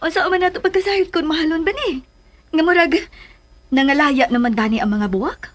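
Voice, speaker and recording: A woman's voice: sits high, brisk, lightly acted. A woman with a Filipino accent playing a weary, guarded, dismissive mother hiding sadness in a radio drama. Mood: weary, sad. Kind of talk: Philippine radio drama acting.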